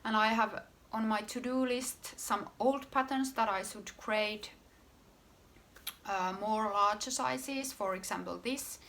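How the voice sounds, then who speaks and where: Woman, Finnish accent, slow and measured, bright tone, casual podcast talk, pauses and fillers. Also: medium pitch. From Finland. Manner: unhurried, pausing often with fillers and restarts. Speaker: knitwear designer and podcast host.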